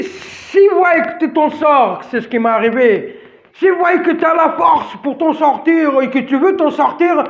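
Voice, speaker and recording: A man with a very high voice speaks slowly and with emphasis, circling back over the same phrases and stumbling through restarts. French is not his first language. He talks straight at his listeners, and the recording sounds dull and lo-fi. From outside France. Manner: emphatic, repetitive, many restarts and fillers; addresses listeners directly. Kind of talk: ranting monologue to camera.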